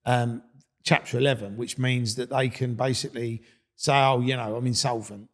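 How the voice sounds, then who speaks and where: A middle-aged man from England hosts a relaxed podcast chat. He rambles, circles back over his own words and swears often. His voice sits at a plain, middling pitch. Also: moderate pace. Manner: rambling, swears freely, many restarts. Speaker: podcast host. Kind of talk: casual interview chat.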